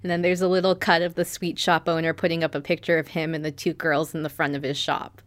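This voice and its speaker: A woman's voice: low voice, level tone, quick pace. A woman podcast host giving a casual, chatty recap, telling the story with acted-out quotes and plenty of "like".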